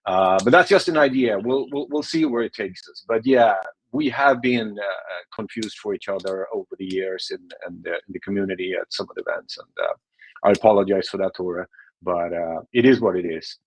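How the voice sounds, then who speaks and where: A man's voice: fairly low pitch, fairly quick, no room sound, rough recording. A Swedish man, a non-native English speaker, giving a casual, chatty online presentation with frequent restarts. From Sweden.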